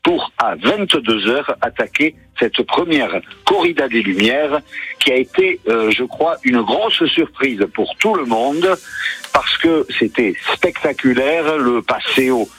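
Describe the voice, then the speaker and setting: A man giving a live radio report from a bullring, enthusiastic and halting, with many fillers. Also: medium pitch, animated, a bit bright, slightly rough, low-quality recording. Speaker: field reporter. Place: bullring arena.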